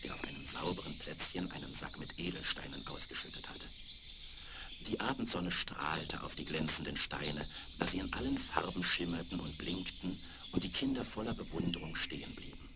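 A man reading a scripted fairy tale for children as the narrator of a radio play. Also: sits low. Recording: crackly, very noisy 1970s vinyl record.

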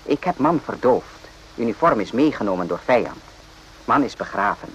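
An actor playing a weary, evasive doctor in a radio play, speaking clipped, broken sentences. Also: male voice, mid-range voice, expressive, normal speed, natural voice. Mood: insistent, terse. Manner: clipped broken speech, dropping articles and verbs. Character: weary, evasive male Vietnamese army-hospital doctor, stoic. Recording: noisy old radio play recording.

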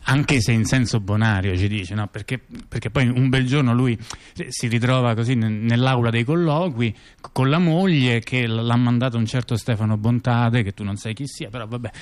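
Guy playing rambling intellectual in a radio play, chatty, halting, keeps repeating himself and drifting off topic. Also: medium pitch, steady tone, natural voice. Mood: animated explaining, ironic. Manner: halting, digressive, repeats words, explanatory. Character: rambling intellectual man explaining mafia history. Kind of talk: conversational radio theatre monologue.

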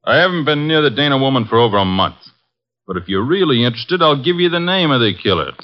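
A man's voice: mid-range voice, lively intonation, quick pace, close to an everyday voice. An actor playing a tough, surly wise guy in a 1940s radio crime drama, talking blunt American slang. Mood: surly, defiant. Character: tough, surly, slangy male shady big shot. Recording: muffled old 1940s radio recording.

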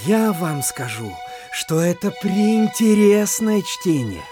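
A man's voice: sits high, very animated, slow pace, warm tone, faint music behind, heavily acted. A man playing a nosy, naive, self-satisfied provincial postmaster in a theatrical comedy audio play, savoring his words in a gushing singsong. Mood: delighted, gushing.

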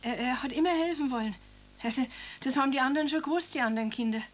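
A woman's voice: sits high, fast talker, faint music behind, lightly acted. An actress playing an elderly grieving mother who reads her son's letter and then talks about him in homely, rambling speech. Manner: plain homely speech with tag questions. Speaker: mother of Karl. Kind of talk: radio drama acting.

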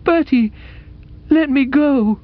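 A man voicing a dreamy, sentimental young woman with a gushing, halting, earnest delivery in a dramatised audiobook. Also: extremely high pitch, narrow, tinny audio, pitched above the performer's own voice. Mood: pleading.

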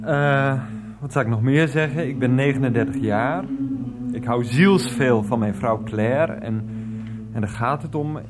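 A man's voice: mid-range voice, unhurried, loud music behind the voice, close to an everyday voice. A man playing a flustered, lovestruck husband in his thirties in a radio play, hesitant, apologetic and harried. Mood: sheepish, earnest. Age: 30s.

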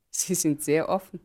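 An actress in a German radio play playing a poised, determined mother, speaking emphatically and deliberately. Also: low-pitched, warm tone. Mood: earnest, frank. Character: poised, determined mother resolving to test her lover.

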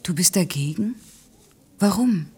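An actress playing a headstrong, defensive working-class woman in a naturalistic radio play, curt and dryly self-mocking, with a husky voice. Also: lowish voice, expressive, measured pace, dark tone. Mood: guarded.

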